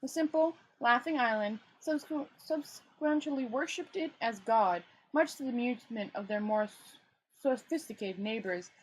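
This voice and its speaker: A woman's voice: on the high side, moderate pace, a little hiss or hum, low-quality recording. A woman reading a novel aloud in an informal amateur way, stumbling over words and adding casual asides.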